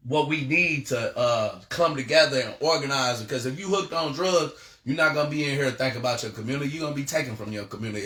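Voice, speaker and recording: An American man delivers a political lesson with a bright, forceful voice. He is emphatic and rallying, circling back over phrases and tripping on words as he goes. The sound is roomy, with clear echo around his voice. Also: medium pitch. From United States. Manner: emphatic, repetitive, rallying, with frequent stumbles. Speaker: political education teacher. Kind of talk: impassioned political lecture with read-out passages.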